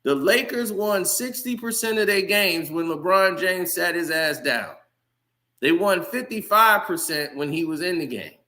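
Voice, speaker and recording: Heated male sports commentator addressing viewers, bright and forceful. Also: high voice, a little slow. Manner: heated, ranting, talks straight to viewers. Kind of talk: casual sports commentary rant.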